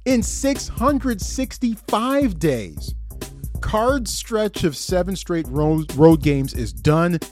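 A man hosting a sports show, narrating in an emphatic, animated way. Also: sits high, slow pace, faint music behind. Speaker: sports podcast host.

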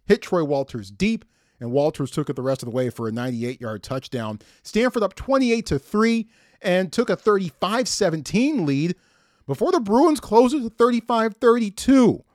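A middle-aged man gives conversational sports commentary in a fairly high voice. His delivery is animated and emphatic, rising and dropping as he tells the story, and the sound is dry and close. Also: normal speed, no room sound. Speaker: sports podcast host.

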